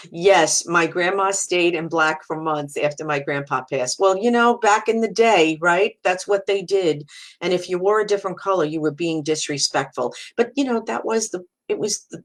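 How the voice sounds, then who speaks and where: Low-voiced Brooklyn woman chatting live. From Brooklyn, New York. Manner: warm and reassuring, frequent restarts and "you know". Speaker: psychic medium hosting a live video. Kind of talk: casual live-stream talk, reading viewer comments.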